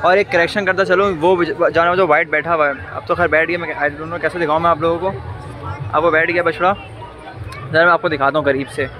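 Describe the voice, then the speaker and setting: A man's voice: a little high, fairly even intonation, brisk, crowd noise, background noise. A man in his twenties with a Pakistani accent, chattily addressing viewers as a vlogger and repeating phrases for emphasis. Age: 20s. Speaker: vlogger and host. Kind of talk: enthusiastic vlog commentary and street interviewing. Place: outdoors at night in a crowded tented cattle display.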